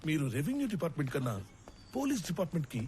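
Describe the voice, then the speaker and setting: An actor playing a suave, watchful senior police chief in a film drama, pointed and authoritative. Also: male voice, a little high, very animated, rapid-fire, very noisy. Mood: probing, suspicious. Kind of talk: film drama dialogue mixing English phrases. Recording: film soundtrack with music.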